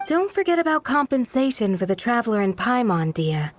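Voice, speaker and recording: A woman playing a composed, responsible guard and coordinator in a video game, speaking in a measured, polite, gently chiding manner. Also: fairly high pitch, sing-song, a little hiss or hum.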